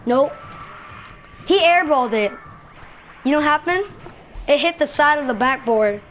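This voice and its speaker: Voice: high voice, animated, moderate pace, fairly bright tone, slight background noise. A young streamer chatting casually over gameplay in a slangy, rambling way. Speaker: gaming streamer.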